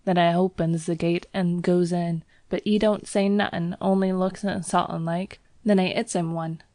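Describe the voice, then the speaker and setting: A woman's voice: lowish voice, steady tone, normal speed, mellow, no room sound, close to an everyday voice. A woman voicing a young Cockney bellboy, a former soldier, who drops his h's and keeps saying sir. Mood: uneasy.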